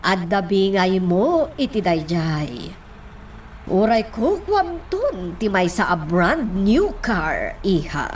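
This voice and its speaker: A money-minded middle-aged mother in an Ilocano radio drama. She talks slowly, with big theatrical swings in pitch and an Ilocano accent. She sounds self-assured and scheming. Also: sing-song, distant mic, slight background noise.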